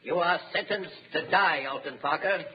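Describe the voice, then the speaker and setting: A high male voice on a dull, hissy old radio recording. An actor plays a pompous, ruthless chief of a secret vigilante order, formal and imperious in vintage radio villain style. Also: moderate pace, close to an everyday voice. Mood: menacing. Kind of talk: 1930s radio acting.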